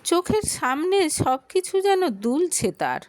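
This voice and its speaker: A woman narrating a scripted audio story in an expressive, dramatic way. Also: very high voice, takes it slowly, dry recording, studio quality.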